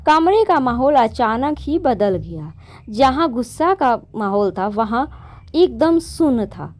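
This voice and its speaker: A woman's voice: a little high, a little mellow. A woman hosting and narrating a moral story in a conversational way, correcting herself as she goes. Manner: conversational, with restarts and self-corrections. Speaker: storyteller host.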